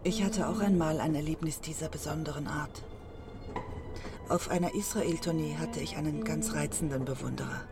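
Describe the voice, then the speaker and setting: Candid, teasing wife, coaxing and playful, natural radio play dialogue with music behind. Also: female voice, deep voice, steady tone, moderate pace. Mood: candid, probing.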